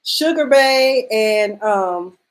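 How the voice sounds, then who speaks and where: A woman from rural Mississippi with a Southern accent, hosting a casual radio chat show, excitable and playful. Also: on the high side, very slow. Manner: excitable, loud exclamations, playful.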